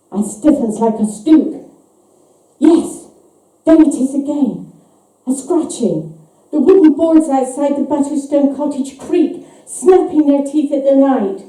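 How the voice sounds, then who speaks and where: Female author reading eerie fiction live, measured and suspenseful, high mellow voice, picked up from a distance in a reverberant space. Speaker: author reading her own short story. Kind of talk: live dramatic story reading. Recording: echoey hall, distant microphone.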